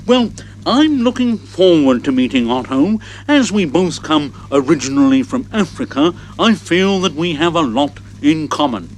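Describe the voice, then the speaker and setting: A man's voice: a little high, very animated, normal speed, a little mellow, a little hiss or hum, poor audio. Man voicing a chill friendly elephant.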